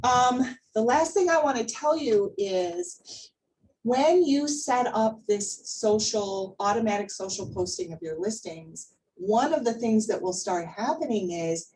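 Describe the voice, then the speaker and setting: A woman's voice: on the high side, expressive, a little slow, darkish tone. Woman trainer, recorded from a distance. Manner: conversational, emphatic, repeats words for emphasis. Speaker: trainer leading a workplace class. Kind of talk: informal training presentation with questions to the group. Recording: distant mic, faint background noise.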